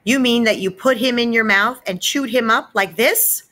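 A frantic mother of small boys performed by a woman reading aloud from a children's storybook. Her voice is raised and bright, with dramatic, disbelieving exclamations. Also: fairly high pitch, moderate pace, pitched above the performer's own voice. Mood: shocked. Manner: dramatic, wailing and hollering.

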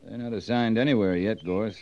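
A man acts a stern American cavalry captain in an old radio western. His voice is low, with terse, dry, commanding lines. The recording is dull and dated. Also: a little fast, mellow, natural voice. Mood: brisk, businesslike. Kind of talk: 1950s radio western acting.

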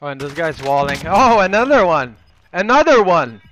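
A man's voice: on the high side, normal speed, no echo, soft background music. A man in his thirties whose first language is Polish, chatting casually in English and French while streaming a shooter game at home on a headset. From Poland. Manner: switches between French and English, excitable when calling out cheaters. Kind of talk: casual gaming commentary. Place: room at home, indoors.

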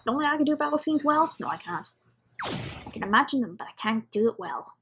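A woman's voice: high voice, very animated, fast talker, noisy background. A woman giving casual reaction commentary as she watches.

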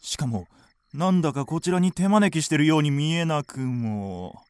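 A man playing a hot-headed, vengeful village hunter in a radio drama, rough and blustering. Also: on the high side, lively intonation, moderate pace, darkish tone, dry recording, clean studio sound, lightly acted. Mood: cocky, determined.

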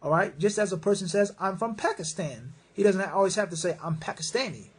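Guy with a high raspy voice doing islamic q&a advice, stresses stuff by repeating it, drops arabic phrases in. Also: expressive, fairly quick. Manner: mixes in Arabic religious phrases. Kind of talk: religious advice, question and answer talk.

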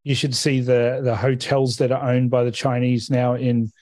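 A man's voice: medium pitch, level tone, moderate pace, darkish tone, no room sound. A man from Fiji speaking forthrightly on a political radio panel. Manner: forthright, assertive opinions.

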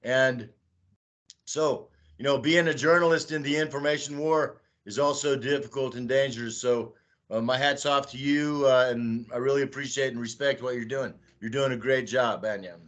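A man's voice: mid-range voice, steady tone, a bit bright. Older man rambling on a video call. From Texas, United States. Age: 60s. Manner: slow, rambling, with many "uh" fillers. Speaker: guest. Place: small room at home, indoors. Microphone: webcam on a video call.

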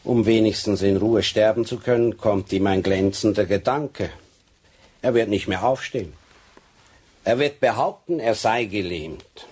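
A methodical police sergeant in a radio crime drama. His voice is low and husky. He lays things out step by step, deliberate and insistent. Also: darkish tone. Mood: methodical, pointed. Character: methodical Swiss police sergeant laying out a case.

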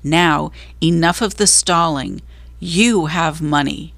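A woman voicing a no-nonsense male cat in a storybook, dry, direct and gently teasing. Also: sits low, slow pace, creaky, no echo, exaggerated voice. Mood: dry, impatient.

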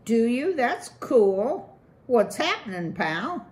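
Woman reader as casual beaver worker: high, loud, slangy. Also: very animated, takes it slowly. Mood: breezy. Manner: breezy, slangy. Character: casual, chummy young male beaver worker.

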